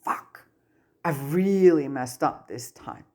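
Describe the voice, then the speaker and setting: A woman's voice: low-pitched, takes it slowly, mellow. A woman voicing an awkward, easily flustered young male student in a story reading, hesitant and earnest. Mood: earnest, unsure.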